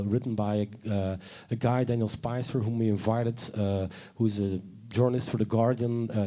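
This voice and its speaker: A man's voice: medium pitch, dark tone. A man moderating a live panel talk in front of an audience, rambling eagerly with many fillers and self-corrections.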